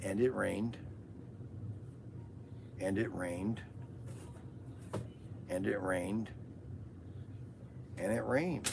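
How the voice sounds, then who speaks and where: A man hosting a casual live podcast, hoarse from losing his voice, rambling through a story with many fillers and restarts. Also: lowish voice, drawn-out words, pauses often, noisy background. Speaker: host of a toy business live podcast.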